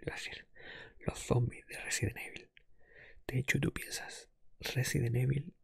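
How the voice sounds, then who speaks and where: A man hosting an ASMR podcast monologue in a hushed, breathy whisper, explaining things hesitantly. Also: mid-range voice, dry recording. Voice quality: breathy, mostly unvoiced whisper. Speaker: podcast host.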